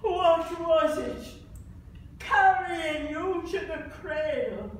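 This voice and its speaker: A woman performing a grief-stricken mother in an anguished, halting theatrical stage monologue. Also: very high voice, exaggerated voice. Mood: mournful. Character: grief-stricken Irish mother mourning her son. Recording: echoey theatre, distant mic, faint noise.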